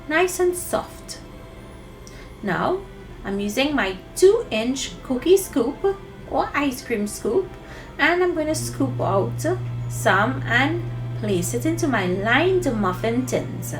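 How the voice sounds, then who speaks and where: A woman's voice: high-pitched, sing-song, slow pace, soft background music. A woman presenting a recipe for children in an instructive, friendly demonstration style, speaking directly to them.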